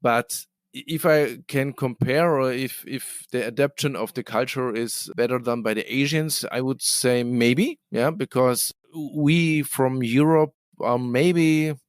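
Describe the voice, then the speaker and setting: A middle-aged man with as his talks through interview answers in a resonant, smooth voice. He hesitates often, filling gaps and restarting phrases. The sound is dry and close. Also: medium pitch, a little slow, hardly any pauses, no echo. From Germany. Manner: hesitant, many fillers and restarts. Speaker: guest, business development manager.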